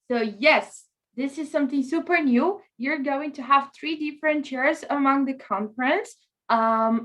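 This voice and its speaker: A woman's voice: high voice, fairly bright tone. A woman hosting an online conference opening, chatty and enthusiastic, drawing the audience in with questions over a video call. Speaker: conference chair and host.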